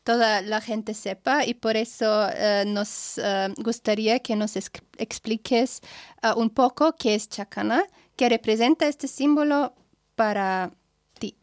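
A woman interviewer speaks slowly, with a slightly high voice. She is halting and often searches for words as she puts informal questions. The sound is close and dry. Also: steady tone, dry recording. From Europe.

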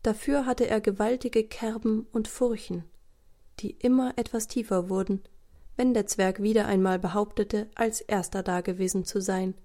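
A woman narrating a children's audio story in a measured way, speaking directly to young listeners. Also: medium pitch, fairly even intonation, dark tone, natural voice.

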